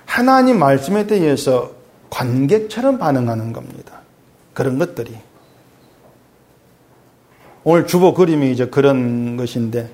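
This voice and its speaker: A middle-aged male pastor preaching conversationally, asking his congregation questions and dropping low and soft when he reads scripture. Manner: swings between raised emphatic lines and quiet low asides; reads scripture aloud in a lower, softer voice. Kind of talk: sermon.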